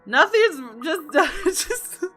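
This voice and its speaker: A woman's voice: very high-pitched, expressive, very slow, fairly bright tone. A woman hosting a visual novel playthrough, reading aloud and reacting with giggles and exclamations. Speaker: gaming video host. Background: guitar game music.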